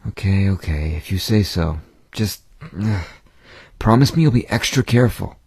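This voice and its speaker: A man voicing a protective, resigned older caretaker in a cartoon, with a muffled, husky voice. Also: lowish voice, expressive, moderate pace, a little mellow, dry recording, heavily acted. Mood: worried, resigned. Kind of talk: animated cartoon acting.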